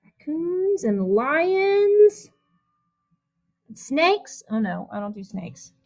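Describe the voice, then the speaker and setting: Woman, high voice, children's storybook read-aloud, animated teacher delivery, chatty asides. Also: very slow. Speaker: music teacher reading a picture book aloud.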